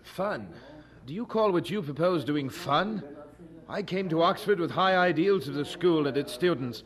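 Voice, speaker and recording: An actor playing an earnest, principled young student servitor in a radio drama, polite, formal and firm. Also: male voice, fairly high pitch, animated, normal speed, close to an everyday voice. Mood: indignant, firm.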